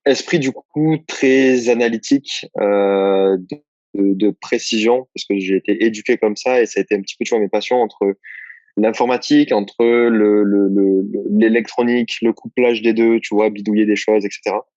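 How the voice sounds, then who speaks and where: A man's voice: lowish voice, fairly even intonation, moderate pace, a little mellow, no echo, highs cut off. A young man from southern France, answering interview questions about his work as a copywriter in a loose, rambling way, full of fillers and restarts. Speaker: guest, copywriter. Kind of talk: casual interview chat.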